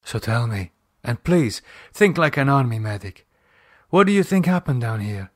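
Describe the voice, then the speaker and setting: A man voicing a calm, solicitous foreign woman in an audiobook horror drama, gently commanding, with precise formal phrasing. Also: medium pitch, normal speed, no echo, studio quality. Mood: calm, probing.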